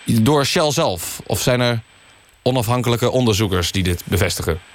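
A man playing an earnest radio news interviewer, deadpan and somewhat halting, in a satirical magazine show. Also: medium pitch, a little hiss or hum, natural voice. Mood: earnest, incredulous. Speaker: radio host.

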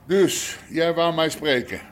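An actor playing a gruff peep-show boss in a naturalistic radio drama, curt and full of street slang. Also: male voice, fairly high pitch, animated, takes it slowly, voice pushed up. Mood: guarded, gruff. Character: gruff Amsterdam underworld peep-show boss, big-mouthed family man.

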